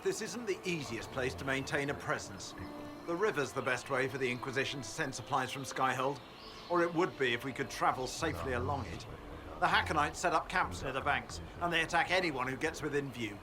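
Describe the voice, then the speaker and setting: An actor playing a gruff, dutiful male army lieutenant in a video game, reporting firmly in military fashion. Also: medium pitch, lively intonation, fairly quick, lightly acted. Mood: firm, weary. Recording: game audio over noisy outdoor ambience.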